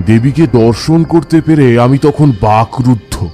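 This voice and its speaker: A man's voice: mid-range voice, measured pace, warm tone, soft background music, lightly acted. A man playing a devout tantric ascetic, narrating his eerie encounters in an intense, dramatic audio story style. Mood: awed, suspenseful. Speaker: first-person narrator. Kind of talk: dramatic scripted horror narration.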